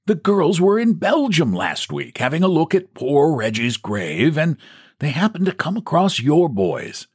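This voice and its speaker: A man's voice: fairly high pitch, wildly swooping pitch, measured pace, husky, pitched above the performer's own voice, exaggerated voice. A man voicing a frail elderly man who talks in a thin, piping, quavering way. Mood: fond.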